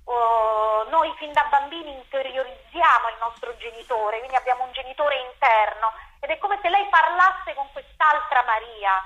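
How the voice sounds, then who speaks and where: A woman, a theatre director explaining her work in an interview in a reflective, halting way with repeated words. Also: high-pitched, sharp tone, muffled recording.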